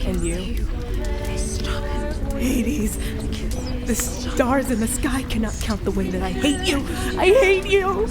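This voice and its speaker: A woman playing an anguished, vengeful young goddess in a radio drama, speaking haltingly and repeating phrases with raw intensity. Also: sits high. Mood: bitter, wounded. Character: anguished, vengeful young goddess cursing her father and uncle. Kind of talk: dramatic radio play acting. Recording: loud music under the voice. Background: loud music.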